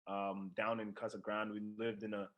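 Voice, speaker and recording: A man's voice: fairly low pitch, little pitch movement, moderate pace, lo-fi sound. A young man from the Democratic Republic of Congo speaking casually as an interviewed professional athlete. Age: young adult. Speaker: professional soccer player being interviewed.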